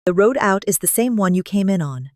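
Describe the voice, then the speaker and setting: A woman's voice: mid-range voice, animated, brisk, no room sound, studio quality, lightly acted. A woman playing a stern, guarded widow in a western audio story, speaking in a curt, cold, formal way.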